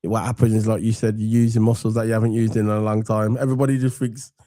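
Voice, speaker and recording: A man from England with a croaky voice from a cold, hosting a casual football chat and rambling warmly to viewers. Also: on the low side, flat delivery, quick pace, no room sound, studio quality. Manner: chatty, rambling, gives shout-outs to viewers. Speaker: football podcast host.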